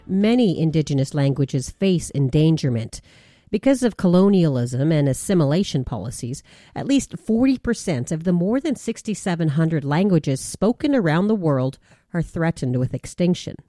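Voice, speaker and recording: A woman hosting a radio show, narrating a scripted feature in a measured, deliberate way. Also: sits low, big pitch swings, dark tone, dry recording, studio quality.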